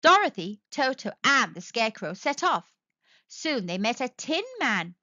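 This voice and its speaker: A high, bright woman's voice narrates a children's read-aloud story. She is very animated in her storytelling. The recording is dry and a little dull. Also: unhurried, no room sound.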